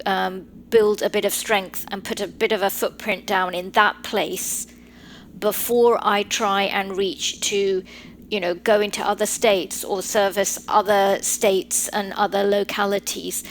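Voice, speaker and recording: A woman in her forties with a London English accent speaks at a middle pitch, explaining things in a measured, advisory way with the odd filler. Her voice carries over a faint hiss of background noise. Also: a bit bright. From Britain. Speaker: guest lawyer. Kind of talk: podcast interview.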